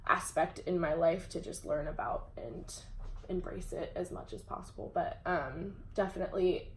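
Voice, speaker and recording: A young adult woman speaking casually and hesitantly, pausing often with "um". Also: lowish voice. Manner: hesitant, many "um" pauses, laughs easily. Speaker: interviewer. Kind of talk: casual conversation. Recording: echoey room with faint background hum.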